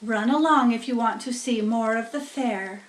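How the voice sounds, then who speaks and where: A woman voicing a wise, gentle, tired female spider in a children's storybook, measured and reassuring. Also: fairly high pitch, natural voice. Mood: calm. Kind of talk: children's storybook read-aloud. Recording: roomy echo, distant from mic.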